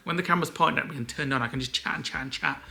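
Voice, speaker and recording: Soft-spoken, very bright-voiced chatty man. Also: a little high, racing, vocal fry. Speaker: vlog co-host. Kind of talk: casual chat to camera.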